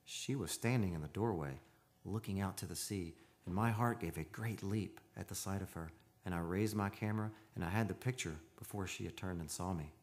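An actor playing an earnest, haunted man of seafaring stock in an old-time radio drama, speaking in a solemn, measured, poetic way. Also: sits low, a little mellow. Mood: hushed, solemn. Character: earnest, haunted seafarers' descendant telling his eerie tale. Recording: live radio studio with music behind.

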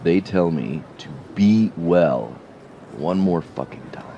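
Guy acting a space station cop in an audio drama, slow low drawl, fake friendly, kinda threatening. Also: lively intonation, dark tone, dull audio, a little hiss or hum, exaggerated voice. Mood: falsely friendly.

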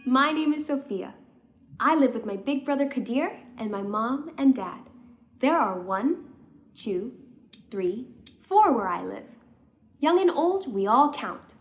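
Woman reading as an eager, high-voiced little girl. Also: lively intonation, measured pace, pauses often. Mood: proud, eager. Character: friendly little girl introducing herself and her family.

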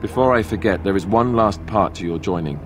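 An actor playing a sombre, sympathetic young warrior in a video game, earnest and reflective. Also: male voice, sits low, fairly quick, soft background music, close to an everyday voice. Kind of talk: video game dramatic acting.

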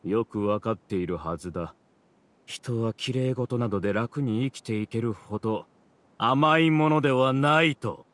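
An actor playing an arrogant mastermind villain in a video game, speaking with haughty, theatrical contempt. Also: male voice, medium pitch, moderate pace, a bit bright, a little hiss or hum, lightly acted. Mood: scornful. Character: arrogant villainous male mastermind ruling a hive mind. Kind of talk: Japanese video game voice acting.

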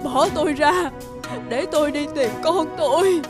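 Cartoon grieving old mother, high, plaintive, confused, music underneath. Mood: distraught, desperate. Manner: plaintive, halting, repeating herself. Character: grieving, confused elderly peasant mother searching for her son. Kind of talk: animated folk tale voice acting. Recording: music behind the voice.

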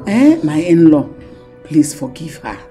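An anxious Nigerian in-law with a mellow voice, pleading. Music plays under this film drama. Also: medium pitch, sing-song, a little slow.

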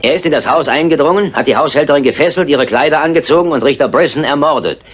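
An actor playing a shrewd, composed detective in a German radio crime drama, speaking precisely and with authority. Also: male voice, fairly high pitch, quick pace, lightly acted. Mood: urgent. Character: shrewd, composed male detective, coolly certain. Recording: older radio play with background noise.

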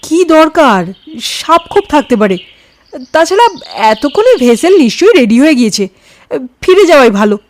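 A woman's voice: high-pitched, sing-song, normal speed, pauses often, pitched above the performer's own voice. A woman voicing a nervous, ghost-fearing young man who complains and hesitates in an audio story. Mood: hesitant, uneasy. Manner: hesitant, aggrieved.

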